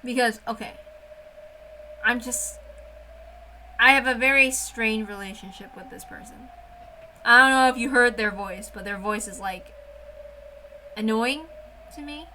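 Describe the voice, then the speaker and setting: A woman's voice: on the high side, measured pace, long pauses, bright, forceful tone, a little hiss or hum. A woman hosting a read-aloud series, chatting casually in an emphatic, informal way. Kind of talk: casual chat and dramatic reading of a visual novel.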